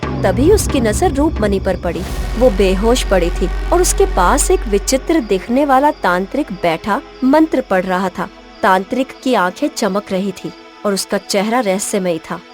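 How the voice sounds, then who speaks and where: A woman's voice: medium pitch, expressive, unhurried, a little mellow. Woman narrating a dramatic fairy tale. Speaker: storyteller, narrator. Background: background music.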